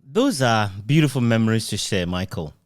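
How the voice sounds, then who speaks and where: A middle-aged man conducting a reflective, conversational interview in a sentimental, halting and thoughtful way. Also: on the low side, big pitch swings, smooth. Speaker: interviewer, journalist.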